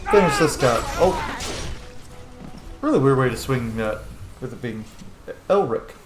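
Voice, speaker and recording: A man's voice: medium pitch, animated, a little slow, music in the background. A man giving casual, informal gaming commentary as he role-plays, swearing freely. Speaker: gameplay host. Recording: roomy echo, faint background noise.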